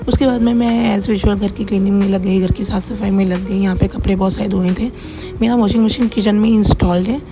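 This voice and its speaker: A middle-aged woman narrating a casual home-kitchen vlog in a plain, everyday way, her voice slightly hoarse as if under the weather. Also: mid-range voice, fairly even intonation, fairly quick. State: mildly unwell, voice a little hoarse. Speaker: home cook running a cloud kitchen, vlogger. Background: background music.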